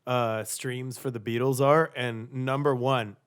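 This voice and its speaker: A man with a rough, raspy voice talks in casual podcast chat. His speech is laid-back and rambling. He restarts his sentences often and leans on filler words. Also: medium pitch, fairly even intonation, measured pace. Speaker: band singer and guitarist.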